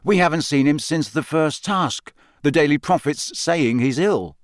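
A man's voice: a little high, a little slow, dry recording, studio quality, pitched above the performer's own voice, natural voice. A man voicing an eager, curious teenage boy who speaks directly.

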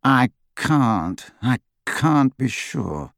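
A man's voice: mid-range voice, very animated, extremely slow, vocal fry, no echo, clean studio sound, heavily acted. A man doing a scared, hesitant youth. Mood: reluctant. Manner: hesitant, quiet. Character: scared, reluctant young man.

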